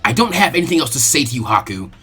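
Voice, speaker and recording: A man's voice: medium pitch, big pitch swings, racing, sharp tone, voice pushed down. A man voicing a hot-headed, determined young ninja boy, blunt and defiant. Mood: cold anger.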